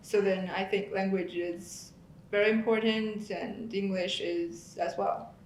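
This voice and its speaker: A woman answering in a documentary interview in a hesitant, thoughtful way, pausing often with "um.". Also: mid-range voice, fairly even intonation, darkish tone, a little hiss or hum. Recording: roomy echo.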